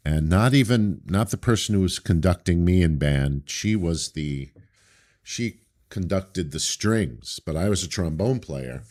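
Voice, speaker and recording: A man from Brooklyn, a theater director being interviewed, with a deep voice and a thoughtful, storytelling manner over a video call. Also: expressive, measured pace, a little mellow. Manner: thoughtful, anecdotal, hesitant starts. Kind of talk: casual interview.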